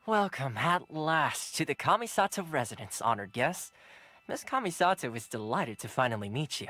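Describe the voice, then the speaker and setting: Loyal young household retainer for a game: welcoming, courteous, hugely expressive pitch. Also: male voice, normal speed. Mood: welcoming, polite. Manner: polite, welcoming. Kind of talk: video game voice acting.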